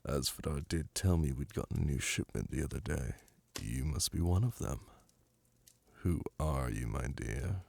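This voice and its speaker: A man voicing a suave, flirtatious, lordly demon prince in an ASMR roleplay, purring teasing endearments in a languid, imperious manner with a gravelly, breathy voice. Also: very deep voice, expressive, moderate pace, a little mellow, no room sound, exaggerated voice. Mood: curious. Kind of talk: ASMR roleplay audio drama. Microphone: close, dry microphone.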